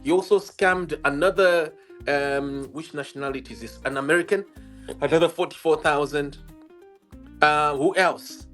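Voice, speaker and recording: A Zimbabwean man with a slightly raised pitch argues his case on a livestream. He is emphatic and combative, repeating phrases to drive them home. Soft guitar music plays behind him. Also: moderate pace. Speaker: investigative journalist, livestream guest. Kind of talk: argumentative livestream interview.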